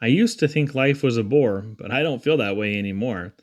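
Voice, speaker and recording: A man reading humorous children's poetry aloud in a theatrical, rhythmic, expressive way. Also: medium pitch, brisk. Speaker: poetry reader.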